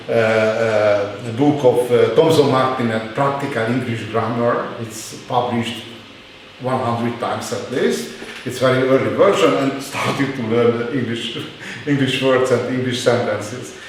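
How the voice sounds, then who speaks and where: A man lecturing in halting English with a Hungarian accent, with many fillers and restarts. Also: mid-range voice, a little hiss or hum. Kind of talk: university lecture. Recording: very echoey hall, mic far off.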